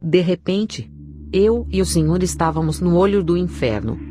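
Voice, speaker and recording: A woman's voice: fairly low pitch, moderate pace, background noise. A woman with a Brazilian accent reading a scripted narration of a religious testimony. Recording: clear background music under the voice. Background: music.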